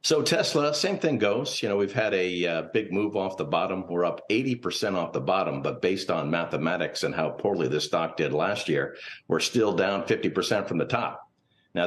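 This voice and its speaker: A man speaking as a guest financial analyst, giving unscripted, cautious and analytical commentary in a TV interview. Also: low voice. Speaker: portfolio manager and finance professor, guest analyst.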